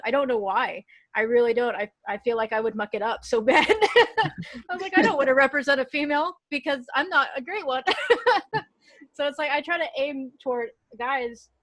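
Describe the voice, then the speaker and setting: Bright-voiced, laughing woman on a dry mic. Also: a little high, no room sound. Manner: laughing, animated. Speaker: player. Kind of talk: casual podcast chat.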